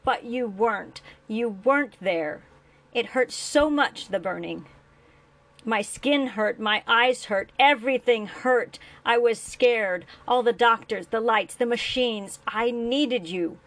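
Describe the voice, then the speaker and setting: A woman's voice: a little high, measured pace. A woman voicing a grown man, a wounded and bitter son confronting the father who abandoned him, pleading in an aching voice. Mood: anguished. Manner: pleading, rising to anguished shouting.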